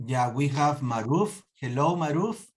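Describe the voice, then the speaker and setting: Man from Peru, meeting host, fairly high voice, friendly and joking, video call. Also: lively intonation, a little slow. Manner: friendly, joking, repeats words. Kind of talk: casual online meeting hosting.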